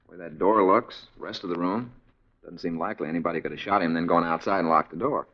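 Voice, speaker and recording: Dry American crime lab expert, low voice, quick delivery, muffled vintage radio sound. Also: male voice. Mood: dry, analytical. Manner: dry, laconic. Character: dry, laconic male police forensic expert. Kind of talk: 1950s radio police drama acting. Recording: muffled 1950s radio recording.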